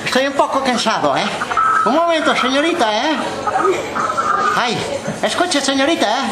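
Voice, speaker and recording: A man's voice: very high voice, animated, moderate pace. A man voicing a muddled old man of seventy-eight with a Catalan accent, mumbling, stammering and repeating himself on a prank phone call. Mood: flustered, insistent. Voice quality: slurred, toothless mumble. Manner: rambling, repetitive, stammering, shouting. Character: doddery toothless old man of 78, muddled caller. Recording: noisy, echoey prank phone call recording.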